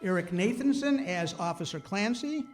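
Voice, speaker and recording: High-voiced, halting man hosting in a hall. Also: animated, loud music behind the voice. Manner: halting, hesitant. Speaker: host of a community radio-play show. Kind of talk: informal thank-you and credits announcement. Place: hall with a live audience.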